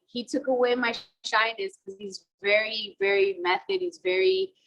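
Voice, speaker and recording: A woman's voice: on the high side, sing-song, normal speed, creaky, fairly bright tone, highs cut off. A woman in her thirties, from the Dominican Republic, talking casually over a video call about her acting work, mixing Spanish and English with many fillers. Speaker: actress interviewed about a film.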